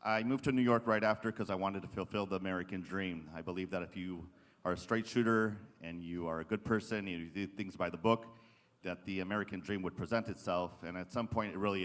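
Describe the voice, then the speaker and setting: Deep-voiced man speaking in an echoey hall. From Israel. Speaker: state assembly member, panel speaker. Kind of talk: semi-formal political speech to an audience. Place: large indoor conference session room. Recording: echoey hall with long reverb.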